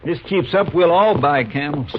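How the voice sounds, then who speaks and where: An actor playing an eager, grumbling deputy in a folksy, deferential rural drawl, 1950s radio western style. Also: male voice, on the high side, normal speed, lightly acted. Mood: folksy, earnest. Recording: noisy dull vintage radio recording.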